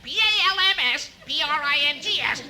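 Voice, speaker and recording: Performer imitating a parrot, high-pitched, squawky, comic, old radio broadcast. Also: brisk, very noisy, close to an everyday voice. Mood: squawking. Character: squawking pet parrot spelling out words. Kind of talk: 1950s radio comedy acting.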